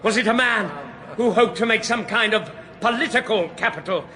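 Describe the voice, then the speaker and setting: A man's voice: sits high, normal speed, pushed and bright. An actor playing a brash, irreverent British crime reporter in a radio drama, cheeky and blustering as he rambles on. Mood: blustering, theatrical.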